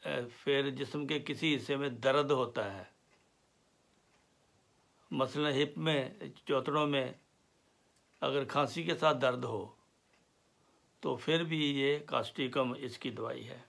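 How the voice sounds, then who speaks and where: Slow, gravelly man explaining remedies. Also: mid-range voice, steady tone, a bit bright. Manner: slow and deliberate, with pauses and fillers. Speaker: homeopathy teacher.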